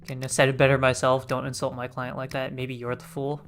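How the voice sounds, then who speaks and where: A woman's voice: rumbling low, level tone, fairly quick, soft background music. A woman reacting casually to a game on a livestream, jokey and playful. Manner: jokey, playful, laughs often. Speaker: game streamer.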